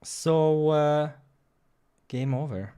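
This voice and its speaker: A man's voice: on the high side, very slow, warm tone, a little hiss or hum. A man hosting a visual novel playthrough, reading narration dramatically and commenting casually on the game. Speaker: gaming playthrough host.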